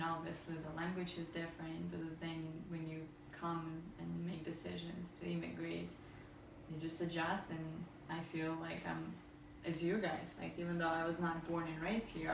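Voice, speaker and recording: A woman's voice: on the low side, flat delivery, normal speed, vocal fry, background noise. A woman from Ukraine, a guest chatting casually and thoughtfully about her work and life. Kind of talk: casual interview chat. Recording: echoey room, voice from far off.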